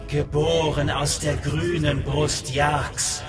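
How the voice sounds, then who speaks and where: Man voicing a solemn, ancient alien being, very slow. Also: on the high side, flat delivery. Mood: solemn, lofty. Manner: very slow, solemn, incantatory delivery. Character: ancient captive alien elephant-headed being, solemn and weary. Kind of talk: audio drama acting. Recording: loud music under the voice.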